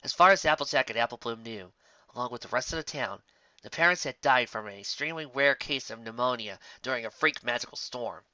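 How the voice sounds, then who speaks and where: A man narrates a story with expressive, scripted delivery. His voice is bright and forceful, captured close and dry on a slightly dull, lo-fi home recording. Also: medium pitch, a little fast, no echo. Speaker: fan fiction narrator.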